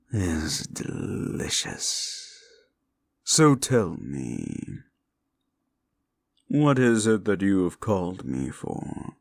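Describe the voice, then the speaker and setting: A man voicing a scornful male demon bound by a wish contract, talking down to the listener in a slow, mocking audio roleplay. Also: low voice, creaky, no echo, full character voice.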